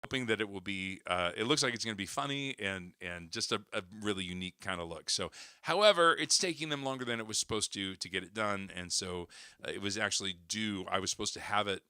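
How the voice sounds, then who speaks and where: A man with a hoarse, recovering voice, chatting casually to viewers on his livestream with plenty of fillers and restarts. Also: on the low side, fast talker, bright, forceful tone, no echo, clean studio sound. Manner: rambling, many fillers and restarts. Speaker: worship singer hosting a livestream.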